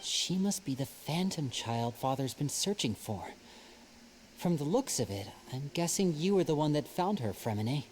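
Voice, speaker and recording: An actor playing a confident, charming young stage magician who questions his younger brother in a smooth, probing, gently teasing way. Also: a little high, expressive, normal speed, slight background noise, lightly acted. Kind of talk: video game voice acting. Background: game music.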